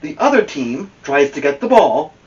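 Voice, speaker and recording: A man voicing excitable young boys on a storybook baseball team, exclaiming and bossily coaching. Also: on the high side, moderate pace, recorded from a distance, lo-fi sound. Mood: explaining.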